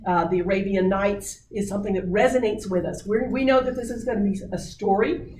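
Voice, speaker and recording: A woman leading a writing workshop, coaching people on their book pitches with many fillers. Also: fairly quick, darkish tone, slight background noise. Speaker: workshop presenter. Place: meeting room. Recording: roomy distant audience recording.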